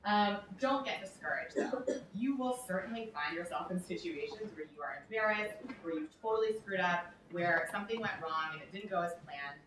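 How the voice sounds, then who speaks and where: A woman's voice: on the low side, lively intonation, normal speed, few pauses, fairly bright tone, a little hiss or hum. A young woman giving an upbeat motivational workshop talk, with frequent "um" and "like". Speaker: workshop speaker. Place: large echoey event room. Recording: echoey room, speaker far from mic.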